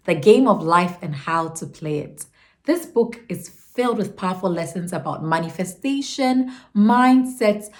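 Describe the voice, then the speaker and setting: A woman hosting her channel, stuffy and nasal from a cold, talking earnestly and encouragingly about a book and stretching words out for emphasis. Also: mid-range voice. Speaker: channel host. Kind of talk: motivational book breakdown. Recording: echoey room with faint music.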